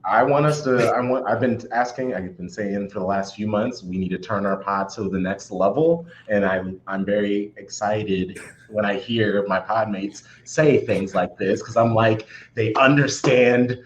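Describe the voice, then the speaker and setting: A man's voice: fairly low pitch, moderate pace, few pauses, lo-fi sound. A man chatting casually as a guest on a podcast. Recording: roomy, echoey, noisy background.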